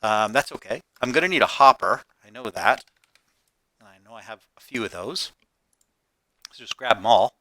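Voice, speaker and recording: Chatty gaming host, male, slightly hoarse, animated and crisp, thinks out loud. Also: medium pitch, moderate pace, long pauses, dry recording. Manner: chatty, thinking aloud while crafting. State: hoarse, getting over a cold. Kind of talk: casual Let's Play narration.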